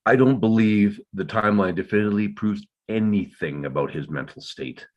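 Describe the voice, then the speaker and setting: A man's voice: fairly low pitch, fairly quick, lo-fi sound, natural voice. A man playing a defensive, guilt-ridden father in a dramatic script read, terse and insistent. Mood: indignant, insistent.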